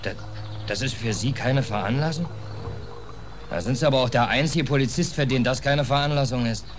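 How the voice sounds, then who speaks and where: An actor in an old radio play, playing a down-and-out stateless American sailor who talks in a colloquial, sardonic grumble. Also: male voice, medium pitch, brisk, natural voice. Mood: bitter, resigned. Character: down-and-out stateless American sailor, stubborn, wry drifter. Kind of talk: 1940s German radio play acting. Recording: noisy old radio recording, harbour ambience.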